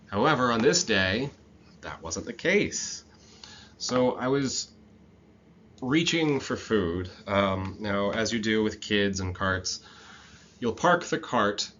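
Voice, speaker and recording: Man in his 30s telling a class a story, bright voice, kinda muffled recording, lots of ums. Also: medium pitch, a little hiss or hum. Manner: relaxed, reflective storytelling with frequent fillers. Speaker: instructor. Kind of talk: storytelling lecture.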